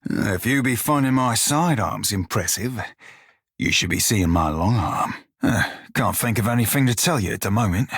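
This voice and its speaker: An actor playing a cocky, bawdy furry in a video game, with a sly, wry, drawling manner. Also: male voice, lowish voice, expressive, normal speed, fairly bright tone, breathy edge, dry recording, studio quality, full character voice. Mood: teasing, cocky. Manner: salty, drawling pirate dialect, sly and wry. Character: bawdy, roguish furry orlan pirate shiphunter, loyal and cocky. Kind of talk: video game character dialogue.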